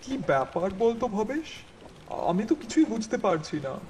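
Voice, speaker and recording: A man's voice: high voice, sing-song, moderate pace, a little mellow, slight background noise. A man playing an anxious, scheming friend who feigns ease and coaxes in an audiobook drama. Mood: nervous, flustered.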